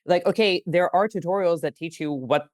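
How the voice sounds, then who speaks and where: A woman's voice: fairly low pitch, fairly quick, a little mellow, no echo. A woman in her thirties from Greece, a game studio head talking casually on a podcast, chatty and laughing easily. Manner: chatty, laughs easily, many "like"s and "you know"s. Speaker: game studio CEO, guest.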